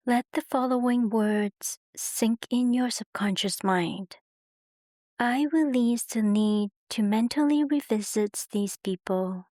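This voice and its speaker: A woman narrating a guided meditation in slow, soothing, unhurried phrases with gentle pauses. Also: mid-range voice, no echo.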